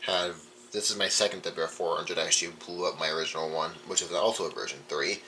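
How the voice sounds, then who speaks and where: A young man with a low voice talks informally, like a hobbyist showing off a collection. He rambles casually from item to item. There is some noise behind him in the room. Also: fairly even intonation, fast talker, pushed and bright, rough recording. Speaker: radio collector. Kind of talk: casual show-and-tell vlog. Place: indoor room.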